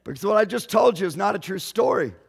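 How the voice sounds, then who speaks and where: A male pastor, telling a story in a sermon slowly and deliberately, raising his voice at dramatic moments. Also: sits high, lively intonation, gravelly, slightly rough. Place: church sanctuary. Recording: long hall reverb.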